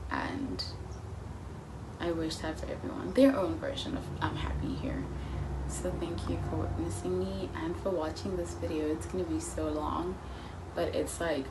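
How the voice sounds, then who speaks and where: Early 20s woman vlogging, kinda low voice, thinking out loud, drifts into whispers, noisy room. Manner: earnest, musing, trails off into soft near-whispers. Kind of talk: reflective personal vlog.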